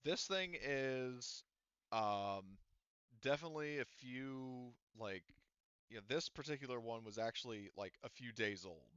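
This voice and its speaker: A man's voice: mid-range voice, a little slow, a bit bright, clear, resonant tone, no room sound. A man running a tabletop game as game master, explaining at length with many fillers and restarts. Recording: dull lo-fi sound.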